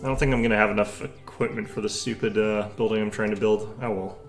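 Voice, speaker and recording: A man's voice: fairly low pitch, fairly even intonation, quick pace, faint music behind. A young man streaming, chatting casually with his viewers in a rambling way, full of fillers and restarts.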